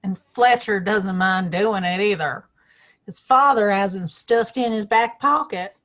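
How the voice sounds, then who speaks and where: A woman's voice: medium pitch, a little slow, fairly bright tone. A woman playing a feisty, outspoken small-town woman in a western audio play, with a folksy, plain-spoken manner. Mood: indignant.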